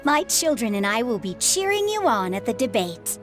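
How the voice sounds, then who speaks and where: A calm, wise former adventurer mother. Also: a little high, normal speed. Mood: reassuring. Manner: calm, reassuring, reflective. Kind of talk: video game voice acting. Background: music.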